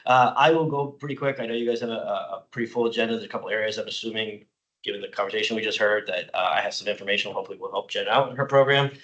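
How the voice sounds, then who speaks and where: Slightly hoarse man presenting a budget. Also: fairly low pitch, fairly even intonation, very fast, a bit bright, lo-fi sound, slight background noise. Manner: frequent uh fillers, explanatory and informal. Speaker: county parks department staff presenter. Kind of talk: public meeting budget presentation.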